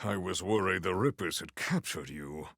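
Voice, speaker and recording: An actor playing a solemn, honor-bound warrior-monk in a video game, speaking in a formal, stately, theatrical way. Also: male voice, deep voice, moderate pace, gravelly, a bit bright, dry recording, clean studio sound, heavily acted. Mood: somber. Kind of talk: scripted video game dialogue.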